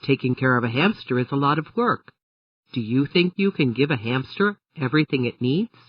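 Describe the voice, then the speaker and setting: A woman's voice: extremely low pitch, expressive, normal speed, breathy edge, no room sound, far from the mic, muffled recording, heavily acted. A woman voicing a patient father who explains things to his young daughter in a children's storybook, in an instructive manner.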